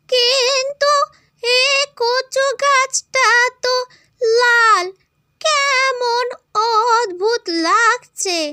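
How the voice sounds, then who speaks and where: A woman playing a stubborn, grumbling old village granny in a cartoon moral story, with a plaintive, drawn-out delivery. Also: very high-pitched, bright, forceful tone, no room sound, clean studio sound, full character voice. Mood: curious. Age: older.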